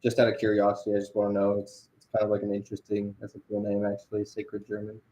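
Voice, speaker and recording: A man's voice: fairly low pitch, monotone, fast talker, mellow, dull audio. A young man chatting casually on a livestream while he animates. He rambles, with lots of fillers and restarts.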